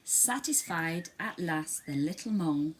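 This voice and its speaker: A woman narrates a children's storybook with a fairly low voice and very lively intonation. She tells it dramatically, with faint noise on the recording. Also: measured pace, low-quality recording. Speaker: storybook reader.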